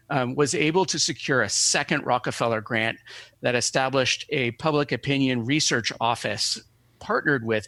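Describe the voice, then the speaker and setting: A man's voice: mid-range voice, fairly bright tone, no room sound. A male professor speaking as an interview guest, explaining in scholarly, digressive phrases with some hesitation.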